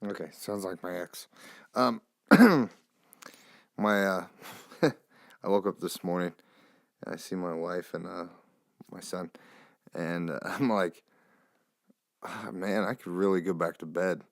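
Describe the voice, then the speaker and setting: Low, gravelly nasal man rambling. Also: slow, pauses often, dry recording, clean studio sound. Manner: loose and profane, meandering asides. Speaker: podcast host. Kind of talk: casual rambling monologue.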